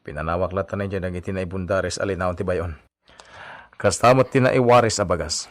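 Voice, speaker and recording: A man's voice: low-pitched, a little fast, no room sound, close to an everyday voice. Man as commanding hacienda son, Ilocano accent, blunt delivery, radio drama, very dry recording. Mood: stern ordering.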